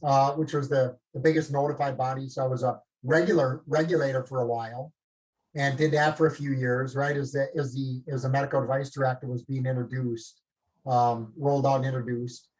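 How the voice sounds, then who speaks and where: Husky Minnesotan man in his late fifties. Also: mid-range voice, level tone, brisk, a little mellow, rough recording. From northern Minnesota. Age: late 50s. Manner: rambling, self-deprecating, frequent restarts. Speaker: featured guest. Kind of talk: conversational talk on a live call. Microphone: video call.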